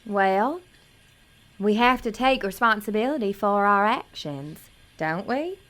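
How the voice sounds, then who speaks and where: A woman playing an innocent, earnest small-town pageant girl in an audio drama, self-doubting and gentle. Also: medium pitch, expressive, measured pace. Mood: earnest, consoling.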